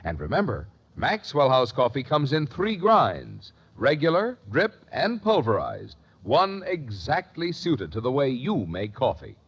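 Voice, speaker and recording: A dull vintage radio recording of an American male announcer. He sells with hearty, scripted polish, his husky voice swooping widely in pitch. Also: wildly swooping pitch, measured pace, hardly any pauses. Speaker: commercial announcer.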